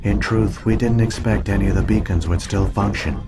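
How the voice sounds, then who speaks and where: An actor playing an ancient alien virtual intelligence in a video game, speaking in a measured, formal, solemn and coldly logical manner. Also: male voice, fairly low pitch, natural voice. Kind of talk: scripted video game voice acting, exposition. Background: game music.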